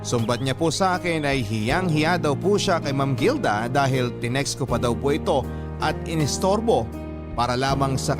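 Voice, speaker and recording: A man with a Filipino accent reading a listener's letter as a radio host, in dramatic scripted narration. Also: medium pitch. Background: music.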